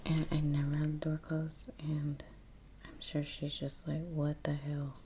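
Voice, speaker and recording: A woman speaking in a soft, breathy near-whisper, murmuring an unhurried ASMR commentary as she paints. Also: sits low, monotone, a little hiss or hum. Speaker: ASMR creator narrating her painting. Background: soft brush and click sounds.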